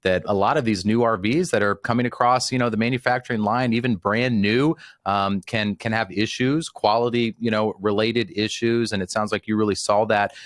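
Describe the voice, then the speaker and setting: A man hosts a podcast, introducing guests with eager, wordy enthusiasm and frequent ums and uhs. His voice sits fairly low, and the sound is dry, with no room echo. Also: fairly even intonation, normal speed, hardly any pauses. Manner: enthusiastic, wordy, many fillers. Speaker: podcast host. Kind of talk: podcast interview hosting.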